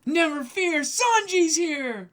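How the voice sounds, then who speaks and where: Cocky kid cook, very high, bratty, bright and rough-edged, manga read-aloud. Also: male voice, animated, slow, full character voice. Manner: shouty, bratty. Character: brash young boy cook, cocky and emotional.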